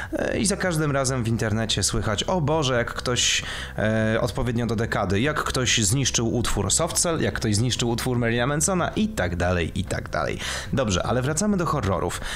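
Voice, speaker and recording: A man's voice: medium pitch, fairly bright tone, a little hiss or hum. A man hosting an informal film review programme, talking enthusiastically in list-like phrases. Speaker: host of a pop culture programme. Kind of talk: informal scripted-outline film review talk.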